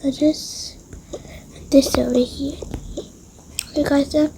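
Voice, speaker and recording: A young-sounding storyteller improvising a pretend-play roleplay with several characters, in halting phrases. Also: high voice, level tone, creaky, mellow, in a whisper. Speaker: storyteller acting out toy roleplay.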